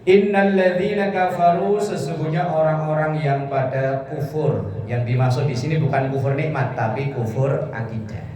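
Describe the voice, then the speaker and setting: A man's voice: a little high, a little mellow. A man preaching informally, explaining scripture phrase by phrase in a conversational, joking manner. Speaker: Islamic preacher. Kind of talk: informal sermon explaining Quran verses. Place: echoey room with a listening congregation. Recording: echoey hall, distant mic, audience murmur.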